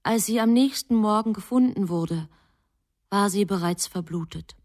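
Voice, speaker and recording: A woman narrating a radio drama in a measured, sober manner. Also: medium pitch, darkish tone, slight background noise.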